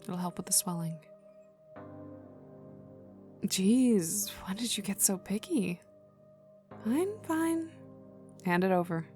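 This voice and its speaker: A woman voicing a cocky, teasing, gruffly protective young villain enforcer in an intimate ASMR roleplay, with a casual drawl that drops into whispers. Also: medium pitch, unhurried, pauses often, a little mellow, lightly acted. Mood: wry, caring. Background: ambient music.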